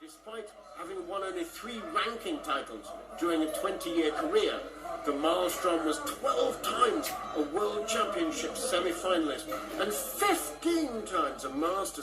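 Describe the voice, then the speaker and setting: A man's voice: high voice, rough recording. Man reading fiction, music behind. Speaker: author reading own story. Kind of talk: literary reading aloud.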